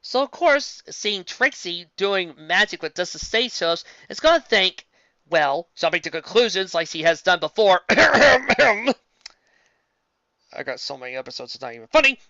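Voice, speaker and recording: A woman casually commenting on a fan story she reads aloud. She rambles, restarts and corrects herself, and jumps up in pitch for emphasis. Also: moderate pace, dry recording, lo-fi sound. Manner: animated, jumping up in pitch for emphasis. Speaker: fan fiction reader and commentator.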